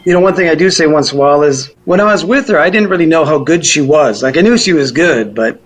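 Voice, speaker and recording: A man's voice: on the high side, fast talker, a little hiss or hum. A middle-aged or older man, reminiscing casually as an interviewee in a rambling, informal way. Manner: rambling, informal, hedging with "kind of" and "you know". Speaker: musician interviewee.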